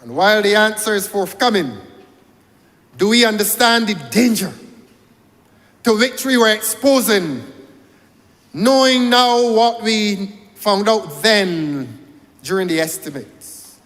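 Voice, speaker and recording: A man's voice: high-pitched, expressive, slow pace, pauses often, fairly bright tone, big-room sound. A Barbadian man giving a parliamentary debate speech, rhetorical, repeating key phrases for emphasis. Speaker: member of parliament. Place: parliament chamber.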